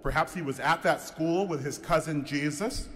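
A man's voice: a little high, moderate pace. A man serving as head of school gives an informal sermon to a church congregation, chatty and joking with people by name. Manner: warm, chatty, jokes with the audience by name. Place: large church sanctuary. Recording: long reverb of a church hall.

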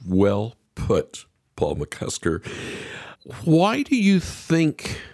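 A middle-aged man hosting a conversational interview, easing into questions in a measured, roundabout way. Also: mid-range voice, huge pitch swings, a little mellow, dry recording, studio quality. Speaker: host.

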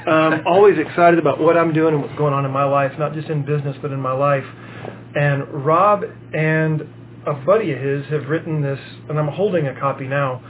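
A man co-hosting a podcast, rambling casually with many fillers and halting restarts. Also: on the high side, steady tone. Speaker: podcast co-host. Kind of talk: casual chat. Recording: lo-fi phone-like sound with faint hiss.

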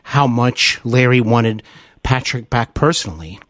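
An American man hosts a conversational interview. His voice sits at an average pitch and he phrases things earnestly, with some hesitation. The recording is dry, with little room sound. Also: lively intonation, a little slow, fairly bright tone, no echo. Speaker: podcast host, interviewer.

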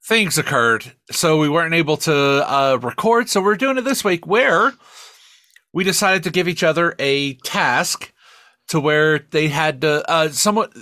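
A man co-hosting a podcast in casual banter, swearing freely and rambling in an animated way. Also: on the high side, a little slow, no echo, clean studio sound. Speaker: podcast co-host.

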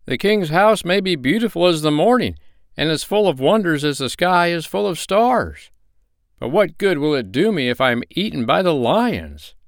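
A man's voice: fairly high pitch, very animated, moderate pace, dry recording, clean studio sound, pitched above the performer's own voice. A man voicing a fearful, stubborn male traveler in a bedtime story.

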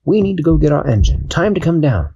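A man voicing a commanding male ship captain, speaking briskly in a raised voice. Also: fairly high pitch, very animated, creaky, dark tone, husky, lo-fi sound, voice pushed up, heavily acted.

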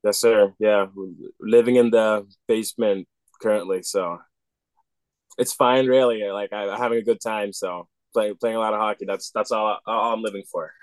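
A man's voice: fairly low pitch, steady tone, moderate pace, dry recording, low-quality recording. A young man of about twenty from Sweden, answering interview questions politely and easily over a video call, with fillers and small restarts. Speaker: guest, junior hockey player. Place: basement room.

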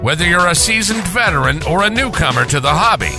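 A man reading a scripted podcast intro in a smooth, evenly paced promo delivery. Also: high voice, animated, fast talker. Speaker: show intro announcer. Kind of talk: scripted show intro over music. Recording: intro with loud music bed.

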